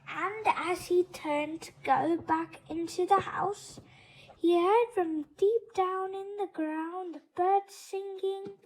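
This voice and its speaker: A storyteller with a childlike voice telling a folktale for children in a slow, deliberate way. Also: very high-pitched, fairly even intonation, low-quality recording.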